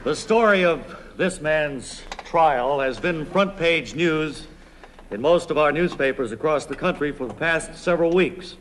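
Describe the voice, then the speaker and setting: A man playing an earnest, respectful radio news interviewer, asking measured, polite questions in a deadpan comedy parody. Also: on the high side, soft background music, lightly acted. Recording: noisy, reverberant old radio recording.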